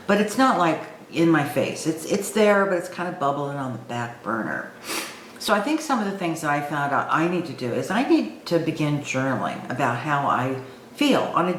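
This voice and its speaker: A woman aged sixty-five talking informally and reflectively to her viewers, thinking aloud with some hesitation. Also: low voice. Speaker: online video host. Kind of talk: reflective personal talk to camera. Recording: echoey room with faint background noise.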